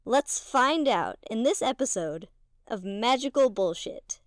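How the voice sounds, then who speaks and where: A woman's voice: fairly high pitch, animated, a little slow, no echo. A woman reading a scripted recap as narrator of a game podcast. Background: music.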